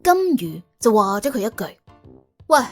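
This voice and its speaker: Female Cantonese audiobook narrator: husky, lively swings in pitch, wry dramatic storytelling. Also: moderate pace. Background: soft background music.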